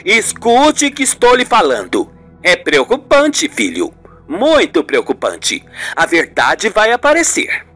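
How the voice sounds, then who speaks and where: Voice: mid-range voice, wildly swooping pitch, slow, fairly bright tone, faint music behind, pitched above the performer's own voice, heavily acted. A performer voicing a haughty, insistent older mother in a radio soap, with a melodramatic, scolding manner. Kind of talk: radio soap opera acting.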